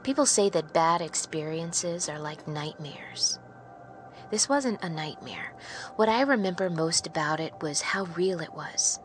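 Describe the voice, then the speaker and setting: A woman playing a haunted survivor, narrating dramatically in a deliberate, hushed, grave way. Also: low-pitched, lively intonation, faint music behind.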